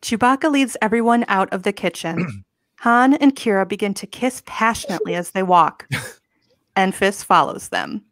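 A woman's voice: medium pitch, expressive, moderate pace, no room sound. A woman narrating stage directions and bantering casually at a live table read over a video call. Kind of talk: deliberate script reading and casual banter. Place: small room at home, on a video call.

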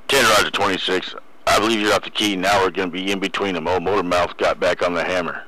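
A man's voice: deep voice, steady tone, fast talker, sharp tone, no echo, poor audio. A man bantering casually in slang as a CB radio operator, heard over the radio. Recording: CB radio transmission.

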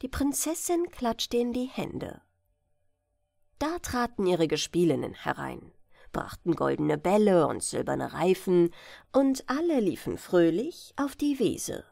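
A woman's voice: on the low side, very animated, a little slow, gravelly, warm tone, dry recording, clean studio sound. A woman reading a scripted bedtime fairy tale as an audiobook, telling it carefully and expressively. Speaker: audiobook reader.

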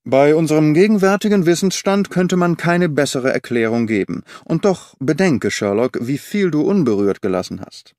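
A man reading the part of a well-informed government official giving a measured briefing in an audiobook. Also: a little high, expressive, darkish tone, no room sound, studio quality. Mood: challenging.

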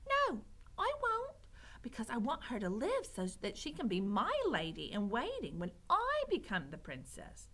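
A woman's voice: a little high, wildly swooping pitch, a little slow, a bit bright, pitched above the performer's own voice, exaggerated voice. A woman voicing a dim, eager young serving girl from a storybook, blunt and uneducated in her speech. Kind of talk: storybook character voice.

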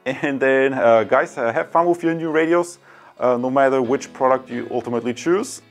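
A man in his thirties reviewing a product to camera from a room at home, friendly and chatty, often saying "guys" and filling pauses with "uh". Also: mid-range voice, soft background music. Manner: friendly, addresses viewers as guys, frequent uh fillers. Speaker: tech reviewer.

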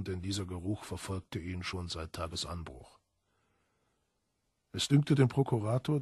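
A man's voice: low-pitched, husky, dry recording, clean studio sound. A man reading literary narration for an audiobook in a dramatic, scripted style.

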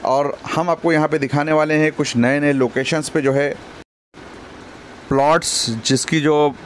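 A man's voice: a little high, steady tone, a little slow, slight background noise. A man in his thirties narrating a walking real estate tour, pointing out sites to viewers in a promotional way. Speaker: property video host. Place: outdoors by roads and at an office.

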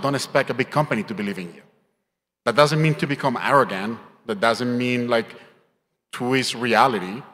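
Colombian guy, native spanish speaker, lowish voice, pep talk on a conference stage, lots of hall reverb. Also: fairly bright tone. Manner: emphatic, motivational. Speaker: panelist, game industry professional. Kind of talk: advice in a panel discussion. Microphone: handheld microphone.

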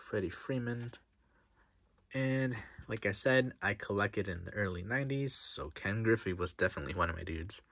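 A middle-aged man with a stuffy, blocked-up nose and a very low, gravelly voice. He talks in a relaxed, chatty way, reading out names and slipping into a near-whisper now and then. His tone is husky rather than clear. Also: dry recording. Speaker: card-opening vlogger. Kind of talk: casual commentary while opening card packs. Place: desk in front of a wall of card boxes.

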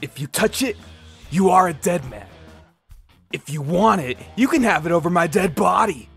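A high-pitched, gravel-edged gang boss acted by a man in a character demo. He swaggers and threatens with raspy cockiness, his pitch swinging widely. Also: lively intonation, normal speed, soft background music, exaggerated voice. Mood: cocky swagger. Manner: cocky, swearing, theatrical. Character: brash, swaggering male biker gang leader, menacing and foul-mouthed.

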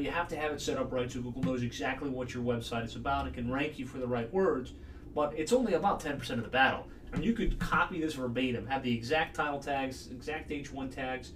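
A man in his thirties walks viewers through a tutorial in a brisk, self-assured way. His voice sits slightly back from the mic in a reverberant small office, with a faint hiss underneath. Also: mid-range voice, far from the mic. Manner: brisk and confident, explaining step by step. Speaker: marketing consultant. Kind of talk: screen-share tutorial. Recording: echoey room, voice a bit off-mic.

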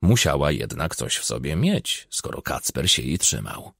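A man's voice: very deep voice, very animated, normal speed, gravelly, a bit bright, husky, dry recording, studio quality. A man reading a crime novel aloud as a scripted audiobook narration.

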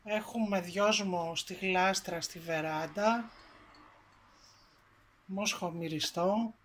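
A woman hosting a home cooking video, calmly walking through the ingredients and steps in her kitchen. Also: lowish voice, background noise. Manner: calm step-by-step narration, listing ingredients. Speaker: cooking show host.

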